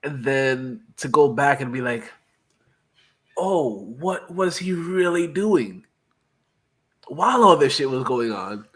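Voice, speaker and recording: A man's voice: mid-range voice, big pitch swings, slow pace, pauses often, a bit bright, breathy edge. A congested man with a lingering cough, co-hosting a podcast in loose, profane, enthusiastic chat. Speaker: podcast co-host.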